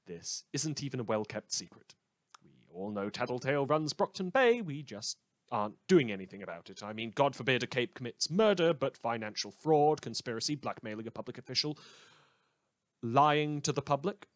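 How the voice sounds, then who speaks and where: A man's voice: medium pitch, very animated, normal speed, dry recording, close to an everyday voice. A man playing a snide, opinionated news radio host, delivering scripted satirical reports in an articulate, mock-earnest manner. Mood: sardonic, suspicious.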